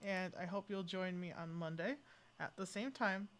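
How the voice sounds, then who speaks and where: A woman's voice: fairly low pitch, steady tone, moderate pace, a bit bright, a little hiss or hum. A woman streaming a game live, chatting casually in a rambling, playfully dramatic way. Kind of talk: casual live gameplay commentary. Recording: game music behind the voice. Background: video game music.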